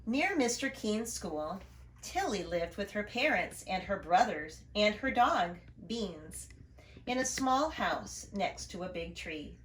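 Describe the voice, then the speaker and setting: A woman's voice: medium pitch, slow pace, slight background noise. A woman reading a children's story aloud expressively, adding little asides about the pictures. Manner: expressive, storytelling to young listeners. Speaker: storybook reader, educator. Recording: roomy, echoing room sound.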